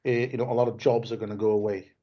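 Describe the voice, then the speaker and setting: A man's voice: lowish voice, level tone, racing, highs cut off. A man raised in Denmark, speaking as a guest on a webinar in a conversational way, often tagging his points with "right?" and restarting his phrases. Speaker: guest speaker, tech executive. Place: remote video call. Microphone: video call microphone.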